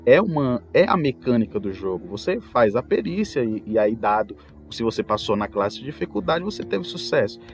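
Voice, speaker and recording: A man with a Brazilian accent talks casually as a podcast host, telling gaming anecdotes in an animated, storytelling way. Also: medium pitch, normal speed, few pauses. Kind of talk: casual anecdotal talk about tabletop role-playing. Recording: music bed behind the voice. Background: background music.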